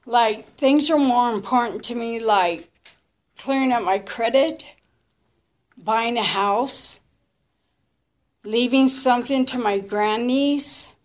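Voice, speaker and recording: A woman in her early fifties giving a candid, unscripted personal testimony in short, halting, reflective phrases. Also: fairly high pitch. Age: early 50s. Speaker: recovering alcoholic sharing her story.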